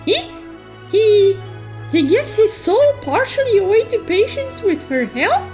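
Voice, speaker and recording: Very high, cute, bubbly unicorn storyteller. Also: female voice, sing-song, a little slow, darkish tone, soft background music, full character voice. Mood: intrigued. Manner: playful, theatrical exclamations. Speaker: storytelling host. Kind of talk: animated story recap narration.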